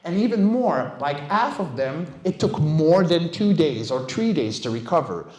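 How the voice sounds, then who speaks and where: Middle aged guy, native french speaker, casual talk on a mic in an echoey room, kinda high voice. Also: very animated, normal speed, a little mellow. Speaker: guest speaker, voice director and vocal stunt coach. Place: indoor game developer meetup venue. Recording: roomy, echoing event space.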